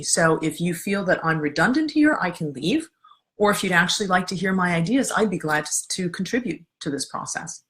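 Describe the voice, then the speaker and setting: A middle-aged woman speaks with a strong voice that sits a little low. She leads a live talk, calm and earnest, walking listeners through her steps one at a time. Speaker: coach and workshop leader. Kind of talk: live-streamed talk answering viewer comments.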